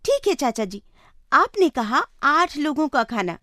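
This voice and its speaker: Woman voicing a polite, lively young sister. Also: sits high, unhurried, dry recording, studio quality, voice pushed up, full character voice. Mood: polite, businesslike. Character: polite, capable young sister running a small eatery.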